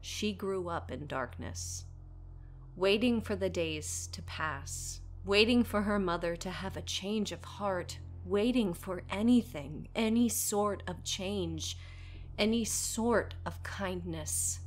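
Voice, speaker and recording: A woman narrating a scripted horror story as a storyteller, dramatic and deliberate, dropping at times toward a whisper. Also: mid-range voice, a little hiss or hum.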